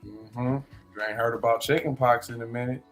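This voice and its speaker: A man's voice: medium pitch, fairly even intonation, fairly quick, soft background music. A man co-hosting a podcast, telling stories in slangy, laid-back casual banter. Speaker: podcast co-host.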